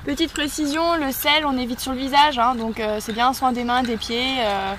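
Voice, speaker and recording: Higher-voiced woman co-host, noisy recording. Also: fairly even intonation, moderate pace, a bit bright. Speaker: co-host adding tips and cautions. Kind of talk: casual chat, off-the-cuff advice.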